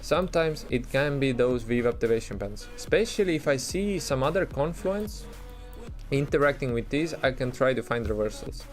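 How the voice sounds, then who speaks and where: A man's voice: mid-range voice, animated, normal speed, soft background music. A man with an Estonian accent, talking in a loose, chatty way as he streams live and answers his chat, with many restarts and fillers. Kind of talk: casual live-stream commentary.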